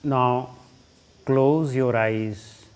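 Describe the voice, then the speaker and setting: Very slow, smooth-voiced Indian man guiding meditation. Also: mid-range voice, steady tone, a little mellow. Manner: short instructive phrases with long pauses. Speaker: yoga teacher leading guided meditation. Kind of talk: guided relaxation meditation instruction.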